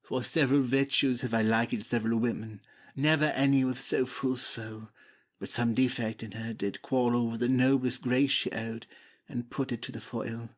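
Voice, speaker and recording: A man's voice: mid-range voice, steady tone, normal speed, lightly acted. A man playing an ardent, humbly devoted young prince in a dramatic Shakespeare audiobook, declaiming verse fervently. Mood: adoring.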